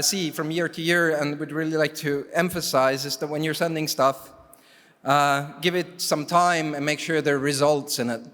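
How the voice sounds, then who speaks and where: A man from Canada presents awards from a conference stage, slightly high in pitch and wry in tone. He stops on fillers as he goes. The stage microphone carries his voice into a long, echoing hall reverb. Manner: relaxed, wry, halting with fillers. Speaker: jury chair presenting awards. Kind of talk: ceremony speech with asides.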